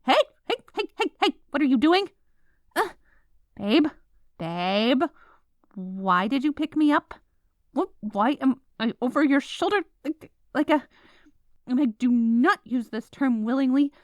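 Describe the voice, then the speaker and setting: High-voiced, stubborn sleepy writer girlfriend, acted by a woman. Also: expressive, unhurried, no room sound. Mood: indignant, pleading. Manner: dramatic, indignant, rambling, exclamatory. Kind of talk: ASMR roleplay acting.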